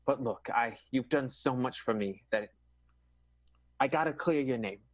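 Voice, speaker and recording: A man's voice: mid-range voice, unhurried, no echo, natural voice. A man playing a hesitant, self-sacrificing prisoner in an improvised 1920s radio soap. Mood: tender, weary. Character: resigned, self-sacrificing male 1920s jailbird, tender.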